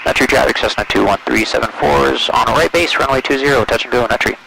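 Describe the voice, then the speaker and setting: A man's voice: medium pitch, fast, vocal fry, poor audio. A man working as a flight instructor, giving encouraging step-by-step directions over a headset in a small plane. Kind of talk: calm step-by-step flying instruction with radio calls. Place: small airplane cockpit in flight. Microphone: aviation headset. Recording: loud aircraft cabin noise, intercom audio.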